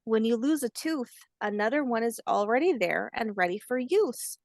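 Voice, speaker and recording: A woman voicing a kindly, patient old grandmother alligator, telling facts in a gentle storybook manner. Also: on the high side, lively intonation, moderate pace, creaky, dry recording, clean studio sound. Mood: proud, instructive. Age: older.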